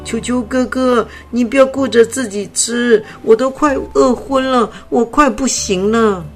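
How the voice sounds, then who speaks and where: A woman voicing a small, sickly grey butterfly in a storybook, with a high, childlike, breathless voice. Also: a little slow, soft background music. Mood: weak, pleading. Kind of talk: storybook character voice.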